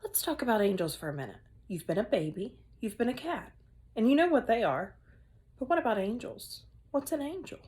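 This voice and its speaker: A woman's voice: fairly high pitch, sing-song, brisk, a little mellow, voice pushed up. A woman voicing a cheerful, playful woman schoolteacher who coaxes her class with upbeat questions. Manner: upbeat, coaxing teacher questions.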